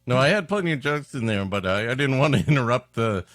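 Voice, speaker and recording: A man's voice: medium pitch, animated, fast talker, fairly bright tone, no room sound, clean studio sound. A man co-hosting a comedy show, making wisecracks in casual, joking banter. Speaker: co-host.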